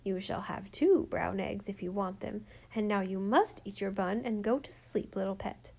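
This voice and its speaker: A woman's voice: medium pitch, steady tone, moderate pace, vocal fry, husky. Woman doing a fussing older housekeeper. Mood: coaxing. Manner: soft, coaxing and affectionate. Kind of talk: storybook reading.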